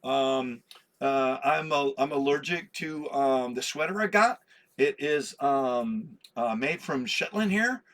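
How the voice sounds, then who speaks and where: A slightly hoarse man hosting a comic book vlog, chatty and self-deprecating, laughing at his own slips. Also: medium pitch, slow, a bit bright. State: a little hoarse. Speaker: comic collector host. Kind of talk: rambling casual vlog.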